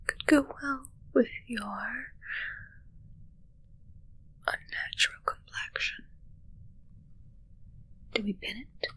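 A young woman playing a teasing, haughty immortal witch in a whispered ASMR roleplay, musing slowly and condescendingly close to the microphone. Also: on the high side, sharp tone, husky, exaggerated voice, rough recording. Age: young adult. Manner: slow, musing, dryly condescending. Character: haughty, teasing immortal witch fussing over her familiar. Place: small indoor set with dark drapes.

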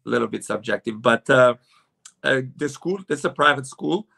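A man's voice: medium pitch, normal speed, gravelly, bright, forceful tone. A man in his forties from Serbia with a Serbian accent, a futsal coach speaking hesitantly with many fillers on a video call from home. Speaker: futsal head coach, guest. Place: room at home with curtains.

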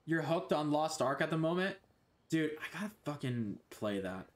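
A man's voice: fairly high pitch, sing-song, moderate pace. A young man streaming a video game from his bedroom on a headset mic, rambling, scattered and swearing a lot. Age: young adult. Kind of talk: casual gameplay commentary.

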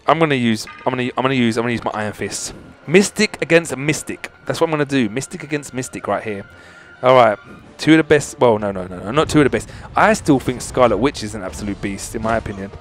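Excitable young man rambling over gameplay. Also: medium pitch, quick pace, soft background music. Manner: excitable, rambling, loud exclamations. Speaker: gaming channel host. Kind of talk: excited mobile game commentary.

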